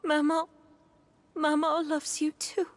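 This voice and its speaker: Casting: fragile, loving game mother, high voice, very unhurried, wistful and gentle over music. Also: little pitch movement. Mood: sorrowful, loving. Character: frail, grieving, tender mother speaking to her child. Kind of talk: video game voice acting.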